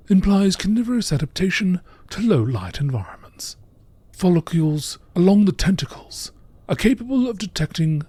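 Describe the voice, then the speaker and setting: A man reading scripted text as a narrator in nature documentary style, with a measured, matter-of-fact, formal delivery. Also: sits high, darkish tone, husky, dry recording. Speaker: narrator reading out game lore.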